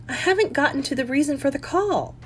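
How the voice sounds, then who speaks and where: A woman's voice: high voice, animated, fairly quick, fairly bright tone, faint music behind, pitched above the performer's own voice. A woman reading a story, voicing a critical older mother who nags in a plaintive, huffy manner.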